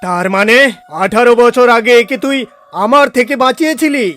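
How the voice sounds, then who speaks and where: A man's voice: extremely high pitch, normal speed, a bit bright. A man voicing a bossy, menacing, impatient lion in a children's cartoon, domineering and taunting. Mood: surprised.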